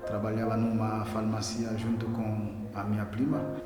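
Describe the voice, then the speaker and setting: Man, thirties, Haitian accent, informal interview delivery, reverberant hall with clear musical bed. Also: lowish voice, completely flat delivery, normal speed, over music. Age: 30s. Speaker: adult student interviewee. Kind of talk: casual interview.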